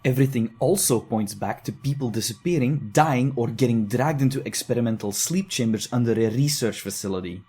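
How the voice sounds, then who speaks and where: A man playing a dry, deadpan skeptic. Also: medium pitch, a little fast, few pauses, husky, close to an everyday voice. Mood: arguing. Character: wary sarcastic young man, reluctant investigator. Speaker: voice actor. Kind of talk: audio drama acting.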